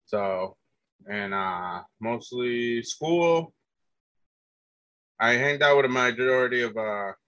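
Bright-voiced man rambling casually. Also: mid-range voice, slow pace, long pauses, no echo. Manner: rambling, many fillers and restarts, says "dude". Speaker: guest telling his life story. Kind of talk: casual conversation.

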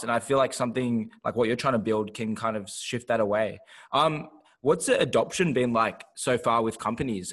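A man in his twenties co-hosts a podcast in a casual interview. His voice sits in a middle pitch, and his questions are relaxed and thoughtful. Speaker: podcast co-host.

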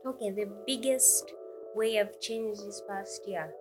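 Kenyan woman, mid-twenties, podcast co-host; lively, laughs a lot, music bed underneath. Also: mid-range voice. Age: 20s. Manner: animated, laughs often, talks quickly. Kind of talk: casual podcast chat mixing English and Swahili.

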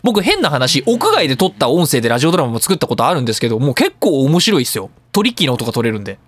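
A man's voice: mid-range voice, huge pitch swings, rapid-fire. A man hosting a radio talk show, explaining things in a chatty, opinionated, colloquial way. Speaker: radio show host and audio engineer. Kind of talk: casual talk radio monologue explaining sound editing.

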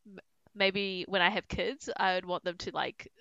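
A woman's voice: fairly low pitch, level tone, normal speed, gravelly, pushed and bright, no echo, lo-fi sound. A young woman, hosting a casual chat with a friend and filling her talk with "like" and laughter. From New Zealand. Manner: chatty, full of "like" fillers, laughs often. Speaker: podcast host.

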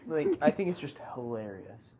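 A man's voice: medium pitch, animated, moderate pace, dark tone. A man chatting as a guest on a casual podcast with friends, explaining points in a plain, matter-of-fact way. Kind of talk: casual chat among friends.

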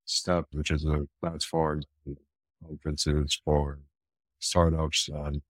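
A man from Lebanon, a conference founder, answering interview questions in a relaxed, hesitant way with frequent restarts. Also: rumbling low, slow, dry recording, studio quality.

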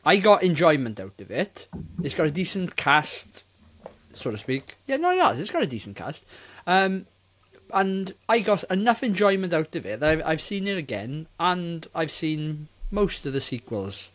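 A man in his forties chats casually as a podcast co-host reviewing films. His voice sits on the higher side and swings widely in pitch, with a blunt, exasperated manner. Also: sing-song, normal speed, a bit bright. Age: 40s. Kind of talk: casual film review chat.

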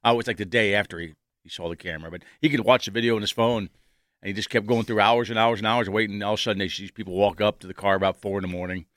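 A man's voice: fairly low pitch, steady tone, rapid-fire, fairly bright tone, dry recording, studio quality. A man hosting a casual panel talk show, chatting in a joking, rambling way.

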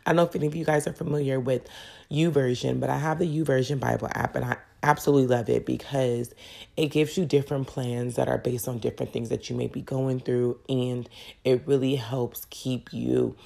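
Very low, gravelly woman podcaster. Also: fairly even intonation, brisk, mellow. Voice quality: crackly. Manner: earnest, personal, encouraging. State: congested with a cold. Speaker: podcast host. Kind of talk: faith podcast talk.

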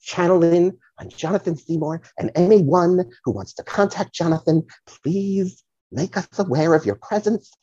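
A performer playing a flamboyant, gushing, scatterbrained celebrity psychic in a comic audio play, rambling and repeating phrases with theatrical drawn-out words. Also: medium pitch, mellow, husky, no echo, dull audio, close to an everyday voice. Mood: gushing, fussy. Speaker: podcast guest playing a psychic. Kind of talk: improvised comic audio play, podcast spoof.

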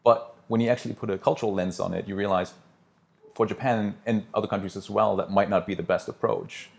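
A man hosting a business interview as a consultant, asking fluent, brisk questions. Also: lowish voice, fairly even intonation, creaky.

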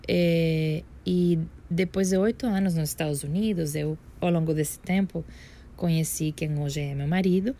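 A woman with a Puerto Rican accent speaks as an interview guest in a low, mellow voice. She is middle-aged and reflective, pausing to search for words and correcting herself mid-sentence. Her answers unfold slowly as she thinks them through. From Puerto Rico. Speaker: guest astrophysicist.

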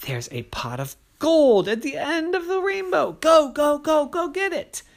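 A small leprechaun boy from a bedtime storybook, squeaky and bursting with eagerness, as a man puts him on. The voice leaps high and bounces all over the place, with a lot of swing in its pitch. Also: big pitch swings, measured pace, vocal fry, full character voice. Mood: urging.

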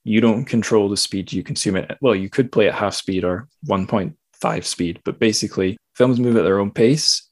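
A man with a deep voice hosts a literary podcast. He talks casually and thinks aloud, circling around his point and poking fun at himself as he goes. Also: moderate pace, hardly any pauses. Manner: rambling, thinking aloud, self-deprecating. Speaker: podcast host.

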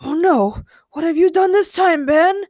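A woman's voice: fairly high pitch, lively intonation, moderate pace, dry recording, clean studio sound, lightly acted. Woman as a weary, disapproving older father. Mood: dismayed. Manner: groaning, resigned.

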